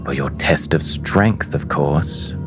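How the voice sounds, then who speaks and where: A man's voice: a bass voice, slow pace, music in the background. A man voicing a stern, ceremonious female ship official from a sea-turtle people in a fantasy tabletop game. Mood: stern, formal.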